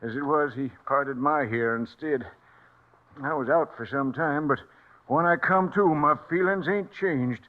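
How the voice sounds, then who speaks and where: A man's voice: on the high side, a little slow, natural voice. An American actor playing a stubborn, irascible old man in a radio western, with folksy, cantankerous frontier talk. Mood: grumbling. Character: feisty wounded old-timer, stubborn and irascible. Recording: muffled old radio broadcast recording.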